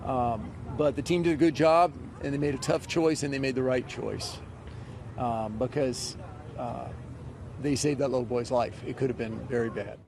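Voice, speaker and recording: A man giving a measured press statement as a spokesman, recorded outdoors. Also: medium pitch, steady tone, creaky. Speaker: zoo spokesman. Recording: noisy outdoor setting with traffic.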